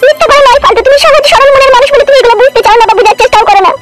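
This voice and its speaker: A woman's voice: very high-pitched, very fast, music in the background. A woman voicing a lovesick, pleading, insistent young woman in an amateur comedy cartoon.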